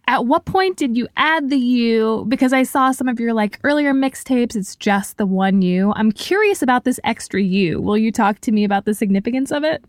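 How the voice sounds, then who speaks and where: A woman's voice: a little high, normal speed, few pauses, no room sound, studio quality. A woman working as an interviewer and host, moving between conversational questions and scripted documentary narration.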